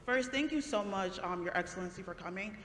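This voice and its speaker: A young woman student from Ghana asks a polite question from the audience, talking quickly and a little nervously. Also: mid-range voice, lively intonation, fairly bright tone. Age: young adult. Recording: echoey hall with faint noise.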